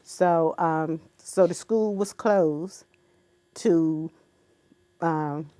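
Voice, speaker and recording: A woman in her seventies from Virginia, a guest in an interview, speaking in an unhurried, reflective way with many ums and uhs. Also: low-pitched, dark tone, no room sound. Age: 70s. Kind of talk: recorded interview.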